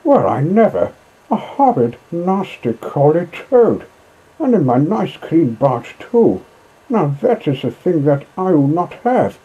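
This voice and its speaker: A man's voice: on the high side, very animated, slow pace, dark tone, slightly rough, dull audio, pitched above the performer's own voice, exaggerated voice. A man voicing a fat, mocking, brash barge woman from a storybook, jeering and scolding. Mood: shocked, disgusted. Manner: gasping, exclamatory scolding and jeering. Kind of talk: storybook character voice in an audiobook reading.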